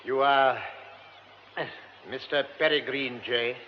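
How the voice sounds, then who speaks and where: Actor as a halting, formal gentleman. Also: medium pitch, fairly even intonation, natural voice. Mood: concerned, halting. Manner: halting, formal, repeating himself. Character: insistent, courteous, hesitant wealthy gentleman. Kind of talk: radio drama acting. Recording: dull radio recording, faint noise.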